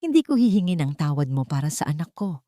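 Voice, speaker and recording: A powerful older patriarch acted out by a woman speaks in an audio drama. The delivery is firm, controlled and without emotion, in a low female register with a dry, close sound. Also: sing-song, fast talker, warm tone, no echo. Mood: firm, grave. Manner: firm, unemotional, commanding.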